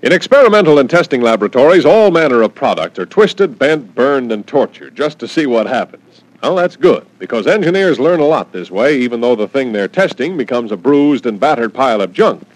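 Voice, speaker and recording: A man's voice: medium pitch, sing-song, fairly quick, hardly any pauses, dry recording, poor audio. A man reading a scripted radio commercial as an announcer. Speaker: advertisement announcer.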